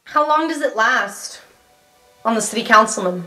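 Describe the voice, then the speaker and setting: A woman's voice: fairly high pitch, lively intonation, normal speed, pushed and bright, soft background music, rough recording. A woman playing a tabletop roleplay game, asking questions casually in character.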